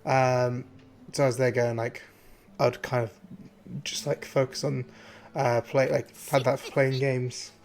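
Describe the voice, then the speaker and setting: A hoarse man streaming a game, chatting casually and rambling with many fillers and restarts. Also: medium pitch, level tone, slow, a little mellow. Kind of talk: casual gameplay commentary.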